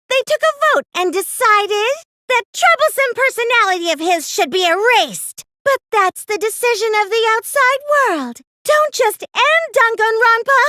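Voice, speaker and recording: Girlish game villain: extremely high, bright voice, theatrical, taunting, smug mastermind obsessed with fiction. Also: no room sound, clean studio sound, full character voice. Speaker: video game voice actor. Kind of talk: scripted anime-style English game dub acting.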